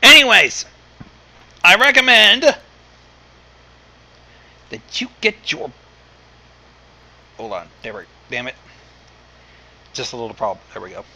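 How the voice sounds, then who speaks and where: A man hosting an online fan fiction reading, chatting casually with swearing in an excitable, exasperated way. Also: on the high side, takes it slowly, creaky, pauses often, a little hiss or hum, low-quality recording. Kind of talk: casual, profane online chat.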